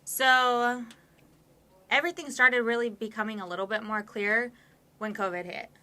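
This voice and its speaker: A woman's voice: a little high, fairly even intonation, normal speed, bright, forceful tone. A woman in her twenties, a podcast guest, talking candidly and at length with many fillers. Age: 20s. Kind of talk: casual conversation.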